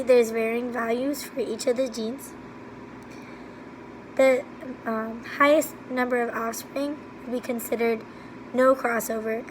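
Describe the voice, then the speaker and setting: Hoarse woman explaining calculations. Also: on the high side, background noise. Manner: steady, methodical, step-by-step. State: hoarse from laryngitis. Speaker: student presenter. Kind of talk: recorded slide walkthrough.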